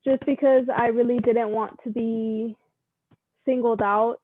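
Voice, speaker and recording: A woman's voice: a little high, steady tone, a little slow, slight background noise. A young woman from Mexico, speaking earnestly in a casual interview with lots of "um" and "like". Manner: earnest, many fillers like "um" and "like". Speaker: guest. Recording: dry tinny phone-like sound.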